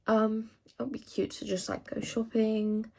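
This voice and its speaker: A woman's voice: a little high, flat delivery, a little slow, gravelly, warm tone, husky. A teenage woman from England chatting casually to camera, rambling with lots of fillers as she answers questions. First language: English. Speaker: vlogger.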